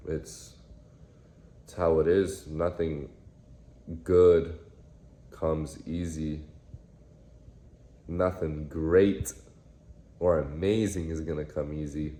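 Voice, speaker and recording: A man in his early twenties, from the United States, talking in a reflective, halting way with many repeated phrases in a casual vlog. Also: a bass voice, dark tone. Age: 20s. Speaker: vlogger. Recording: echoey room with faint noise.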